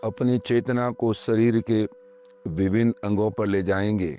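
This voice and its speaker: Male meditation guide, lower register, very slow calm delivery in short spaced phrases, music underneath. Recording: voice over background music.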